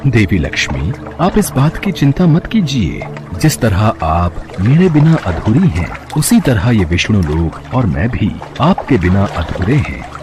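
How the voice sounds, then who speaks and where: A man plays a gentle, consoling god in a devotional cartoon. His formal speech rises and falls widely in pitch over prominent music. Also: sing-song, a little slow. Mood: calm, reassuring. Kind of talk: Hindi devotional cartoon dialogue.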